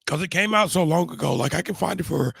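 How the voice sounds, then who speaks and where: A man's voice: on the high side, quick pace, vocal fry, fairly bright tone, slightly rough, dry recording, clean studio sound. A middle-aged man losing his voice, chatting casually as a show host and rambling through his stories with many restarts. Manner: rambling, frequent restarts and fillers. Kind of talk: casual chat.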